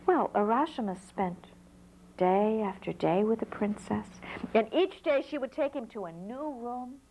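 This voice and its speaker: A woman storyteller narrating a folk tale in an unhurried way, pausing between short phrases. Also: a little high, animated, warm tone, a little hiss or hum, low-quality recording.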